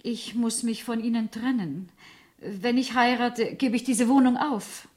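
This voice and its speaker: An actress in a German radio play as an excitable, genteel bride-to-be, polite and somewhat condescending mistress of the house. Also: female voice, a little high, a little slow, close to an everyday voice. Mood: polite, regretful.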